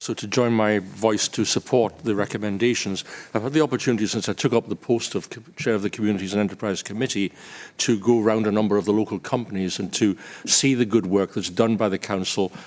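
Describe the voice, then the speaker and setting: A Scottish man speaking as a councillor and committee chair in a formal council debate, measured and pausing often with "um". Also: lowish voice, fairly even intonation. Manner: measured, with frequent "um" pauses. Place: council chamber.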